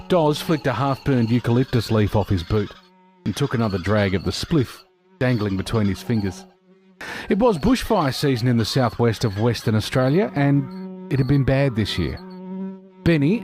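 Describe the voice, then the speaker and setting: A man narrating a scripted audio drama in a deliberate, dramatic manner. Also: mid-range voice, darkish tone.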